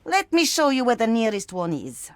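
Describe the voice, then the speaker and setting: Game role: townswoman trader, helpful, wry, expressive pitch, dry studio sound. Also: moderate pace, dry recording. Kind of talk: video game voice acting.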